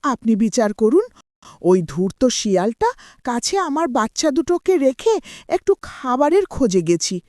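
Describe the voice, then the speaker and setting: A woman's voice: on the high side, very animated, a little slow, warm tone, no room sound, clean studio sound. A woman voicing a small grieving mother bird in a cartoon moral story, pleading for justice. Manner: plaintive, pleading. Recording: music behind the voice.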